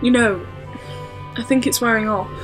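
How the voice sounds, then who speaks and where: Girl acting as a curious young sidekick in a sci-fi audio drama, highish voice, music behind. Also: moderate pace, lightly acted. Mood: casual. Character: Jenny, inquisitive young female companion of a time traveller.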